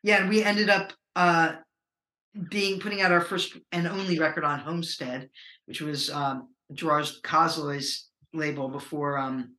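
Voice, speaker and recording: Low-voiced american woman, bright tone, rambles in an echoey room. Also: steady tone, a little slow. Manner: rambling, hesitant with many fillers. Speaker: musician guest. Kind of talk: casual interview conversation.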